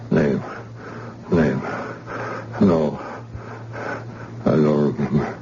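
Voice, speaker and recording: A badly wounded, bewildered man drifts in and out, his words slow and broken. The voice sits very low and dark. It comes through a muffled, hissing vintage radio recording. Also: fairly even intonation, full character voice. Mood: dazed. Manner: halting, confused broken phrases. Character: wounded amnesiac man, dazed and fading. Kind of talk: 1950s radio drama acting.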